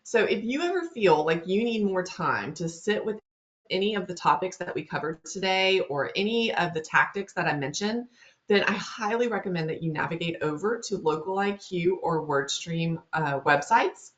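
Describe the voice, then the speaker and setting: A woman's voice: lowish voice, lively intonation. A woman in her thirties with a Southern US accent, hosting a marketing webinar in a friendly, chatty way. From Louisiana, US. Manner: friendly, chatty, talks fast. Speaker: webinar host. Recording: dull lo-fi web-call audio.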